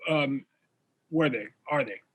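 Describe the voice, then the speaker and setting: A man hosting a conversational interview, phrasing his questions in a halting, searching way. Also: mid-range voice, a bit bright, husky. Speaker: talk show host, interviewer.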